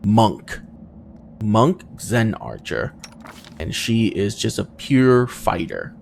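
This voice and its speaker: A man with a slow, unhurried delivery and gravelly fry in his voice talks through a video game. He thinks aloud casually and hesitantly, his pitch moving around freely as he muses. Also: very animated, a little hiss or hum. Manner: hesitant, musing, trailing off between thoughts.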